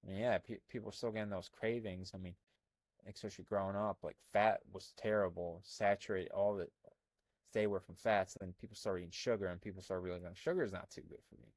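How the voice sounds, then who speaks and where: Husky, rambling young man from Albania. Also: sits low, fast talker, dry recording. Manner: rambling, animated, many fillers and restarts. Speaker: health coach. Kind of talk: casual commentary reading from a screen.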